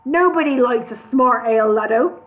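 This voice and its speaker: A woman voicing a loud, bullying male brewery boss with a gravelly, bellowing voice, on a tinny phone-like recording. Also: fairly high pitch, steady tone, normal speed, close to an everyday voice. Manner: bossy, shouting demands.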